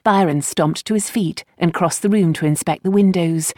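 Female audiobook narrator, slightly low register, polished and expressive storytelling, dry close-miked recording. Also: normal speed, no echo. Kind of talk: scripted fiction narration.

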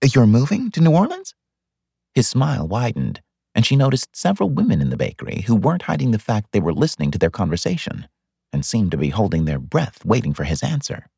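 A man reading fiction as an audiobook narrator, in an expressive scripted style. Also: fairly low pitch, fast, gravelly, husky, no room sound, clean studio sound.

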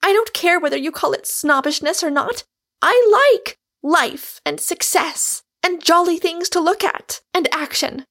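A woman voicing an indignant, forceful young heiress in audiobook dialogue, with emphatic, scornful outbursts. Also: very high voice, extremely slow, few pauses, pitched above the performer's own voice, full character voice. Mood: scornful fury.

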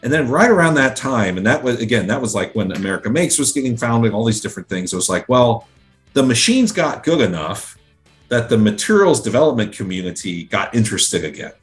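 A man's voice: mid-range voice, very animated, brisk, soft background music. A man from Central Ohio, a guest in an interview, talking casually with many fillers and restarts. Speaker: guest, engineering director.

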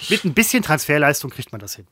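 A man co-hosting a comedic chat, musing wryly and dropping deadpan quips. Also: a little high, fast talker, slight background noise. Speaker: podcast co-host.

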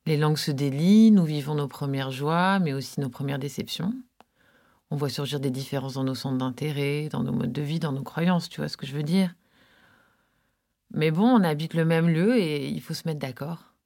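A woman's voice: low voice, moderate pace, creaky, dark tone. A woman narrating a podcast in a reflective, literary manner with conversational asides.

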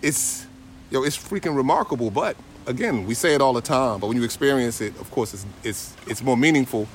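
Animated slangy man telling a story. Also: medium pitch, fairly quick, a little hiss or hum. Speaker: traveler in conversation. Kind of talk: casual anecdote telling.

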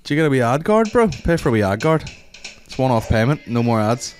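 A man's voice: medium pitch, very animated, brisk, soft background music. A young man, co-hosting a podcast, chatting casually, swearing and slipping into mocking voices. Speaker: podcast co-host. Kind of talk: casual chat.

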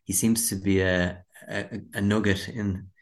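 Low-pitched Irish man chatting casually. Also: level tone, a little slow. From Dublin, Ireland. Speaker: musician being interviewed. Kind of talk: casual chat.

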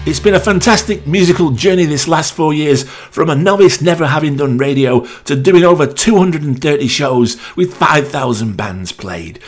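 A man's voice: a little high, animated, normal speed, few pauses. A man hosting a new-music radio show, introducing songs in an upbeat, enthusiastic way. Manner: upbeat, enthusing over the picks. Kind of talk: radio DJ links between songs.

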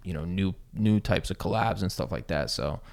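A man's voice: low-pitched, normal speed, gravelly, darkish tone. A man in his thirties, a sneaker YouTuber, chatting casually and rambling with lots of fillers and swearing. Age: 30s. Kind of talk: casual chat.

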